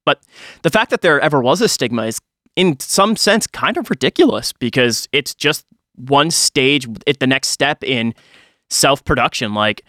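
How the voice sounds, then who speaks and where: A man with a bright, forward voice chats casually as a podcast co-host. He is animated and slangy, and the sound is dry and close. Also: medium pitch, moderate pace, no room sound.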